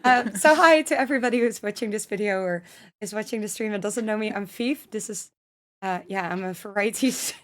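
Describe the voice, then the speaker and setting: A woman's voice: medium pitch, fairly quick. A woman hosting a casual podcast chat, not a native English speaker, friendly and giggly with fillers. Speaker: podcast host.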